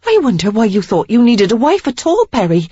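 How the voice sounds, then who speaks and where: A woman's voice: moderate pace, warm tone, husky, dry recording. Woman voicing fiery young woman Sarah. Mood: defiant, angry. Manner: pleading then fiery, high and emphatic. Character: ardent young woman, impulsive and defiant. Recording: slightly dull lo-fi recording.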